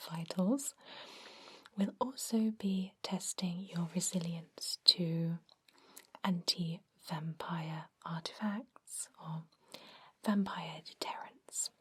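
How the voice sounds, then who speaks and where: Slow, breathy whispering woman. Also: lowish voice. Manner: soft, reassuring, unhurried whisper. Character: kindly, reassuring female vampire doctor. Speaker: ASMR performer playing a doctor. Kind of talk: whispered ASMR medical roleplay.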